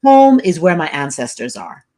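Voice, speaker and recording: A woman speaks as an academic guest, with a slightly low voice. She explains ideas with assurance, stressing each point clearly. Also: very animated, normal speed, dark tone. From Nigeria. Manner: assured, explanatory, frequent affirmations. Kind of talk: interview answers, explaining.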